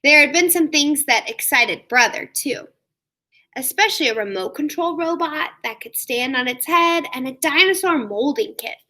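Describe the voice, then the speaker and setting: A woman reading a story aloud to young children like a teacher, expressive, with drawn-out emphasis. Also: high voice. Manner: expressive, asks listeners questions, drawn-out emphasis.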